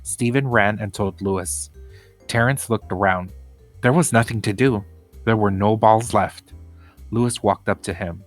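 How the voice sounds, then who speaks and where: A man's voice: lowish voice, a little slow, no room sound, soft background music. A man reading a children's book chapter aloud as scripted storybook narration.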